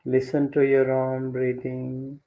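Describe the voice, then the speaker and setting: Slow, gravelly man guiding meditation. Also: mid-range voice, completely flat delivery, phone-quality sound. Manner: gentle, deliberate, with long pauses. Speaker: meditation teacher leading guided meditation. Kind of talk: guided meditation instruction.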